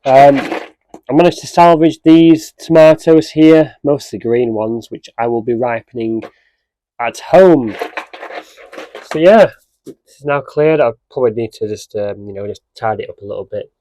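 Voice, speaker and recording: A man with a cold and a slightly croaky voice, chatting casually in a gardening vlog. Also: medium pitch, moderate pace, low-quality recording. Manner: chatty, relaxed, with many fillers. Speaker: allotment vlogger. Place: outdoors at an allotment.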